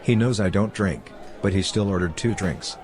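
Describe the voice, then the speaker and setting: A man's voice: sits low, moderate pace, darkish tone, a faint crowd, close to an everyday voice. A man narrating a dramatized detective story in first person as a writer who documents a chief's cases, in a dry, wry manner.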